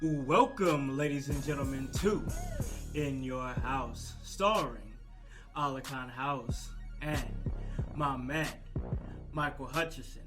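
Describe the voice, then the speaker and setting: A man hosting a wrestling podcast, energetic and loud, with whoops and fast promos. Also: mid-range voice, over music. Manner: energetic, shouting woos. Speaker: podcast host. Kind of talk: hype podcast chat.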